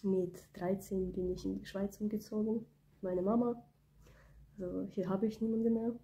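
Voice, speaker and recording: A young woman from Ukraine speaks with a mellow, slightly gravelly voice, kept low because she has a cold. She talks softly and hesitantly, pausing with fillers, straight into a phone camera in a small indoor room. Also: steady tone, rough recording. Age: 20s. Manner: soft-spoken, hesitant, many fillers. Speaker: beauty business owner. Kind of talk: casual personal vlog. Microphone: phone camera close up.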